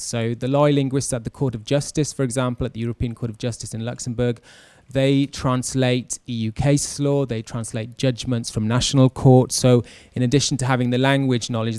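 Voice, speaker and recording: A man, giving an informal, measured explanatory talk as a panel speaker into a handheld microphone. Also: mid-range voice, darkish tone, smooth, no room sound. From West Wales. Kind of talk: informal careers talk to an audience. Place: classroom with a seated audience. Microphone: handheld microphone held close.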